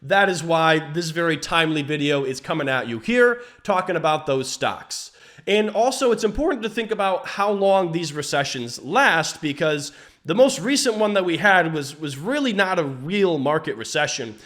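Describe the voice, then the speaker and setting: A man's voice: a little high, lively intonation, moderate pace, a bit bright. A man in his twenties casually explaining investing to his viewers, upbeat and chatty with frequent fillers and asides. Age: 20s. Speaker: finance YouTuber. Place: his home property. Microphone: camera setup, framed from a distance.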